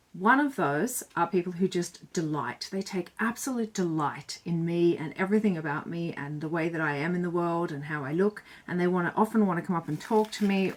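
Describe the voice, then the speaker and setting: Woman vlogger, lower pitch, earnest and chatty, talks straight to camera giving tips. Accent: none Irish. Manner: earnest, chatty and reflective, with some fillers. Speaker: self-styled joy bringer.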